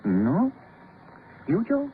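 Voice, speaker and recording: An actor playing an edgy, deferential sidekick in an old-time radio crime drama, hesitant and quick to echo his pal. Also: high-pitched, wildly swooping pitch, heavily acted. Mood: uneasy, agreeing. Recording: tinny, noisy vintage radio recording.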